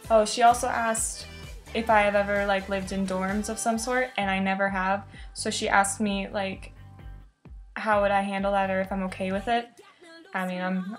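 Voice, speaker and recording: Chatty young woman, rambling casually over music. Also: medium pitch. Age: around 20. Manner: informal, rambling, self-interrupting. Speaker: student vlogger. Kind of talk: casual chat to camera recounting an experience.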